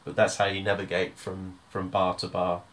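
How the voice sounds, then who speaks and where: A man giving a hands-on music software tutorial, explaining step by step in an informal, hesitant way. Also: low voice, completely flat delivery, moderate pace.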